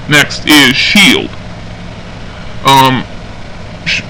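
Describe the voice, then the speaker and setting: A man playing an officer of a sci-fi police engineering unit, giving a halting, methodical, official briefing in an amateur audio log. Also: mid-range voice, pushed and bright, slight background noise, rough recording. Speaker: roleplay game server member recording an audio log. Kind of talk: semi-scripted in-character briefing log.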